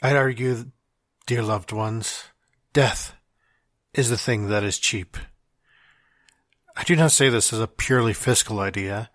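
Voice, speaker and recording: A man playing a dying man with gallows humor, reading out his farewell letter in a wry, deliberate, reflective way. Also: lowish voice, a bit bright, no echo, studio quality. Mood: reflective, earnest.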